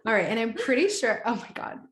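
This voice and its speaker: A young woman co-hosting a casual podcast, chatty and slangy, quick to laugh. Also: fairly high pitch, very animated. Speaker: podcast co-host. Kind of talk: casual chat. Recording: echoey room.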